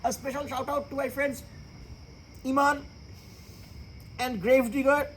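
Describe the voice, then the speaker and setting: Bangladeshi man, very high-pitched, joking and profane, casual comedy chat, faint hiss. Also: little pitch movement, a little slow, a bit bright, rough recording. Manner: profane, joking, switches between English and Bengali. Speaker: comedian host.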